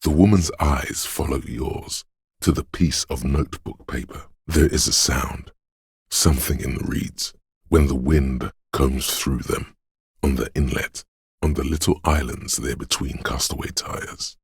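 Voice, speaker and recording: An actor voicing a brooding, poetic inner sense of a haunted city in solemn, measured, atmospheric game narration, very deep and gravelly. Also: male voice, dry recording, clean studio sound, exaggerated voice. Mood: solemn, eerie.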